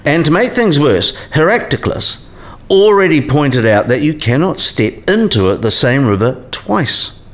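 An actor playing an eccentric, kindly old philosophy professor in a radio play, musing and didactic. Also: male voice, a little high, big pitch swings, a little slow, fairly bright tone. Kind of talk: scripted radio play dialogue.

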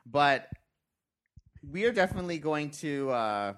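A man's voice: fairly high pitch, animated, a little slow, fairly bright tone, a little hiss or hum. A man hosting a casual group podcast, playful and giggly. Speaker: podcast host.

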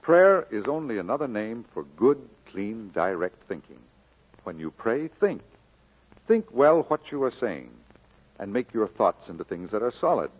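An actor playing a kindly, earnest, encouraging minister in an old radio drama, exhorting in a solemn, measured way. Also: male voice, lowish voice, sing-song, husky, exaggerated voice. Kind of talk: 1940s radio drama acting. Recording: muffled old radio broadcast.

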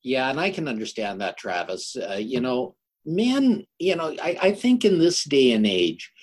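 Show host, older male. Raspy tone, animated pitch, deliberate and emphatic when presenting and questioning. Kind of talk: introducing and interviewing a guest.

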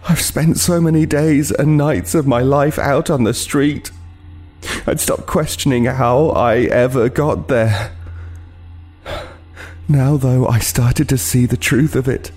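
Husky, haunted homeless man. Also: mid-range voice, slow pace, a little mellow, faint music behind. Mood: haunted dread. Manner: grave, anguished, dramatic. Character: haunted homeless man, remorseful killer, horrified. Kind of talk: first-person horror audio drama narration.

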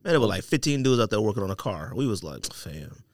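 A man's voice: on the low side, big pitch swings, fast, no room sound. A man co-hosting a sports podcast, talking casually in slangy, opinionated banter.

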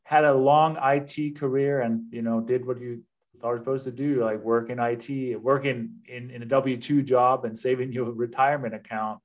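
Male guest, fifties, Danish accent, mid pitch, earnest and reflective conversational delivery. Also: steady tone, moderate pace, highs cut off. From Denmark. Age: 50s. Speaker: guest, real estate investor. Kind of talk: casual interview chat.

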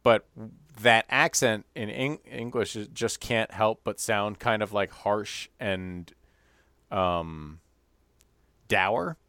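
Animated man in a dry podcast chat. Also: fairly low pitch, no room sound. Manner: animated, quick to exaggerate and laugh. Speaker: podcast co-host. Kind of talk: casual chat.

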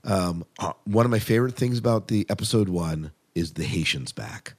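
A man's voice: low-pitched, moderate pace, darkish tone, slightly rough. A man hosting a podcast in casual, conversational chat about shows, often putting questions to his co-host.

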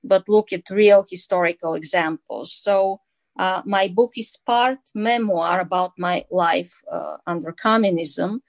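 A woman with a Bulgarian accent talks through her answers as an interviewed author. She is earnest and measured, pausing often on small fillers and restarting her sentences. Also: on the low side, creaky, dry recording. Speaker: author and guest.

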